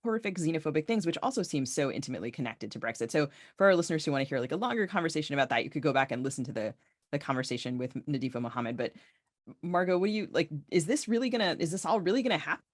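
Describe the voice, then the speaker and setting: A woman's voice: low voice, sing-song, rapid-fire. A woman hosting a podcast interview, talking informally and circling her questions with asides. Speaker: podcast host. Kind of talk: casual interview talk.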